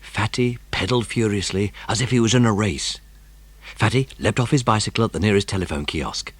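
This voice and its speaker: Male narrator for a children's audiobook, deep and husky, expressive, dramatic storytelling. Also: fairly quick, no room sound.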